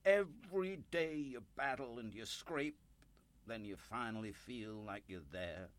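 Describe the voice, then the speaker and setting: Proud, down-and-out storekeeper in his late sixties played by a male actor, radio drama; slow delivery, very wide pitch range, volatile temper. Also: animated, no echo. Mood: weary, contrite. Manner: swings from loud indignation to hushed despair. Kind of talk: radio play acting.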